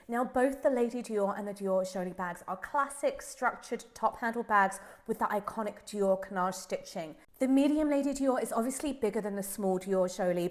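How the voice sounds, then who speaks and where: A woman with a head cold, sounding nasal, chatting enthusiastically through a handbag review. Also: medium pitch. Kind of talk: casual product review vlog.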